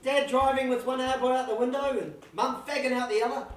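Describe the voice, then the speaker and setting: A man's voice: very high voice, fairly even intonation, fast, a little hiss or hum. An actor, a man, playing a teasing, sardonic brother with a dry, needling manner. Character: teasing, sardonic sibling trading barbs. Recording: very echoey room, distant mic.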